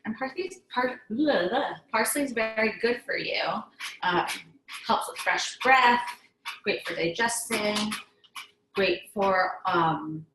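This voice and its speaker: A woman's voice: mid-range voice, expressive, slow, a bit bright, reverberant, rough recording. A young woman whose first language is Hebrew, chatting enthusiastically while teaching a cooking class in a home kitchen, with lots of ums and restarts. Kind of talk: casual cooking demonstration.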